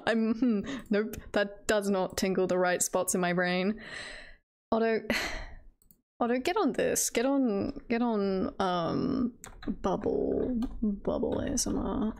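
Girl streamer just chatting, lots of vocal fry, slow and rambly, kinda giggly. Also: mid-range voice, darkish tone. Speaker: livestreamer, virtual avatar host. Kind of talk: casual livestream chat.

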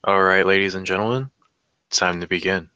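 A man's voice: low-pitched, steady tone, a little fast, sharp tone, clear, resonant tone, no room sound, lo-fi sound. A man playing a commanding, wry schoolteacher in his forties in a screenplay table read. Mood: stern, wry.

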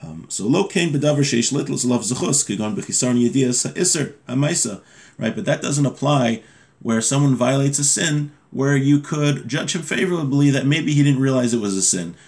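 A man in his thirties giving an informal religious lesson at his desk in a small home room, reading text aloud and explaining it in a halting, self-correcting way. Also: mid-range voice, creaky, a little mellow. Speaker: religious teacher.

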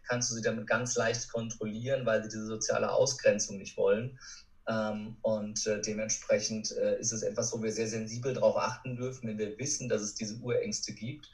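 A man in his thirties talking as a podcast guest, explaining things in a conversational, coaching way. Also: lowish voice. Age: 30s.

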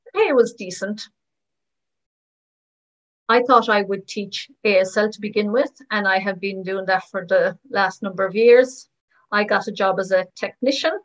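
A woman speaking as a voice-over interpreter, giving first-person testimonies of signers in short, measured phrases. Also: mid-range voice, low-quality recording. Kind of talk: voicing first-person testimonies in plain narration.

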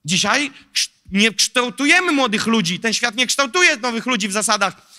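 A man in his thirties preaches with a handheld mic, animated and rhetorical, tossing lively asides to the congregation. His voice sits high for a man and comes across sharp and bright. It rings out with the long reverb of a large hall. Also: normal speed. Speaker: pastor. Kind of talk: sermon. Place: large church stage in front of an audience.

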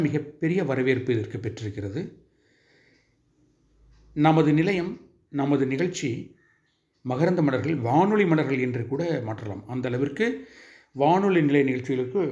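A man hosting an informational radio-style programme, speaking formally and deliberately in short phrases with pauses between them. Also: on the high side, a little mellow, dull audio. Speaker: host of a radio hobby programme.

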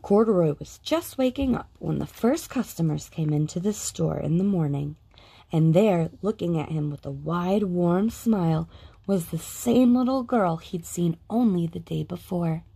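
A woman narrating a children's picture book in a lilting, expressive read-aloud style. Her voice is mellow and warm, with a gravelly, husky grain, and her pitch rises and falls widely. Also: a little slow. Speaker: storybook reader.

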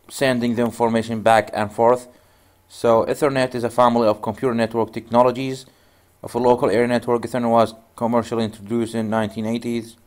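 A man whose native language is Arabic, walking through a networking tutorial in loose, rambling speech with frequent restarts. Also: mid-range voice. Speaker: networking instructor. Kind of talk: screen-recorded tutorial.

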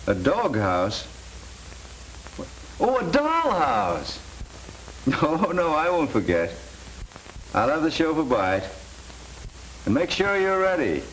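Lively-pitched scheming American broker. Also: male voice, heavily acted, poor audio. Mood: affectionate. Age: middle-aged. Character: smooth, scheming middle-aged crooked investment broker. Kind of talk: 1930s film acting. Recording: hissy 1930s film soundtrack.

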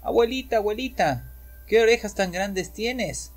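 A man voicing an eager, cheerful little girl in a storybook tale, bright and excited. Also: high-pitched, moderate pace, soft background music, voice pushed up. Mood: wondering.

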